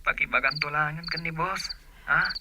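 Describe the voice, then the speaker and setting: A man playing a weary, ailing, gentle young man in an Ilocano radio drama, with loud crickets behind him. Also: a little high, moderate pace, very noisy, natural voice. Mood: weary, tender.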